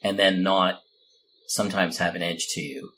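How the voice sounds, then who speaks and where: A man's voice: sits low, steady tone, normal speed, pushed and bright, slight background noise, poor audio. A man hosting a casual, unscripted podcast conversation. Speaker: podcast host.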